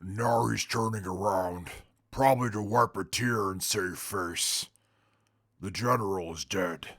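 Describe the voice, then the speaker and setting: An actor in an audio drama playing a dour, suspicious wasteland companion with a gravelly voice and a blunt, deadpan manner. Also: male voice, on the low side, a little slow, no room sound, clean studio sound.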